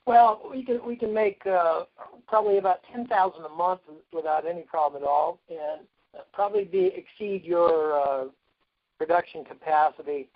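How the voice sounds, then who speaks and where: A man speaking as a company executive on a conference call, pitching a product in a plain-spoken, salesman-like way. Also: moderate pace. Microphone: phone. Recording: dull lo-fi conference phone line.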